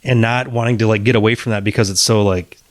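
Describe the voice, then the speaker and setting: A man co-hosting a podcast, talking casually about bands in halting sentences, often restarting and swearing offhand. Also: on the low side, fairly even intonation, dry recording. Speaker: podcast co-host. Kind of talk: casual chat about music.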